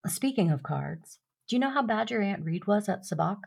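A woman playing a steadfast, protective and tender sister in an audio drama, speaking earnestly and with measure in a husky, creaky voice. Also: medium pitch, lively intonation, moderate pace, darkish tone. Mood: warm, teasing.

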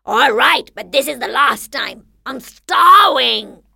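A woman voicing a hungry, impatient male tiger in a storybook tale, speaking loud and drawn out. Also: sits high, lively intonation, vocal fry, husky, no echo, studio quality, voice pushed up, full character voice. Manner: loud, drawn-out growling delivery.

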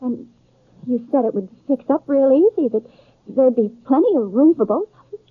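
An actress playing an earnest, proud young American bride-to-be in a 1950s radio western, with hesitant phrasing. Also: female voice, high-pitched, expressive, moderate pace, breathy edge, no room sound. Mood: earnest, hopeful. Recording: muffled, band-limited 1950s radio recording.

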